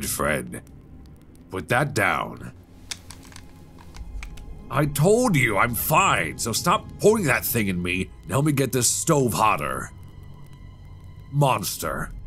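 A man's voice: on the low side, a bit bright, full character voice. A man playing a shivering, defensive stranger in a horror audio roleplay, stammering and sighing as he talks. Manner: halting, stammering, breathy sighs. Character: shivering, defensive man, secretly an impostor creature. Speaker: voice actor. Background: music.

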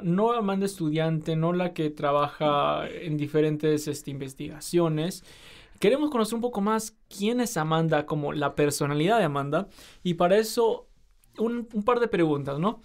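A man's voice: sits high, expressive, moderate pace. A man hosting a student podcast interview, asking questions in a friendly way with frequent fillers. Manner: friendly, lots of fillers like "eh" and "este".

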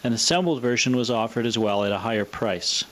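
A man's voice: medium pitch, fairly quick, fairly bright tone. Matter-of-fact man narrating a demonstration. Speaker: presenter of a vintage radio showcase video. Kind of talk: scripted narration, explanatory product demonstration.

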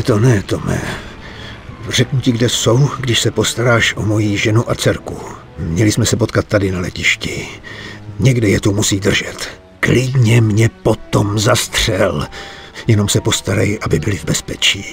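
An older man playing a weary, remorseful mob bookkeeper in a dubbed game, earnest and halting, pleading for his family. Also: lowish voice, vocal fry. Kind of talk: dubbed video game cutscene acting.

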